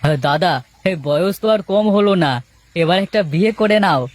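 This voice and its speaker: Man voicing a high-voiced meddling young villager. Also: moderate pace, natural voice. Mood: coaxing. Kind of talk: moral folk-tale cartoon voice acting.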